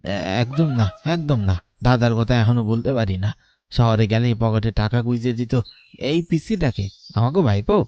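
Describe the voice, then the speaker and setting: A man playing a helpful, gossipy villager in a cartoon, expressive and deferential, speaking colloquially with a rural Bengali accent. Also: mid-range voice, moderate pace, dry recording, muffled recording, lightly acted. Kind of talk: Bengali horror cartoon dubbing.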